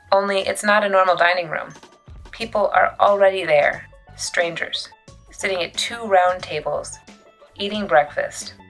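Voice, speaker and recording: A woman reading a children's novel aloud as an expressive storyteller, with dramatic pauses and emphasis. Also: fairly low pitch. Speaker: youth services librarian reading a book aloud.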